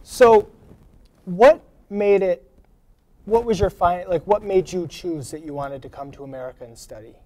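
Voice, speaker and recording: A man's voice: sits high, moderate pace, darkish tone. A young man hosting a student talk show in a small college TV studio, asking friendly, prepared questions with some "um"s. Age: young adult. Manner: friendly, scripted questions with fillers. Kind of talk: studio interview. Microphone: lapel mic.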